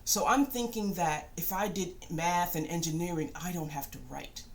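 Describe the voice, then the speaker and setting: A middle-aged woman giving a reflective personal monologue in a thoughtful, halting way, often pausing and rephrasing. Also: on the low side, slight background noise. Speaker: spiritual channel host and self-published author.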